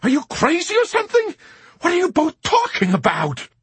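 A man voicing a bewildered, timid army chaplain who stammers and pleads, rising to indignant shouts. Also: sits high, very animated, a little slow, slightly rough, no room sound, pitched above the performer's own voice, heavily acted.

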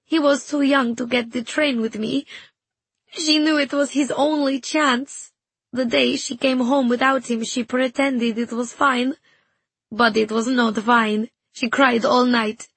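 A woman's voice: high-pitched, steady tone, a little slow, fairly bright tone, husky, dry recording, pitched above the performer's own voice, full character voice. A woman voicing a frightened, grieving young refugee girl in an audiobook, tearful and choked. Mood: tearful grief. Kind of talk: audiobook character dialogue.

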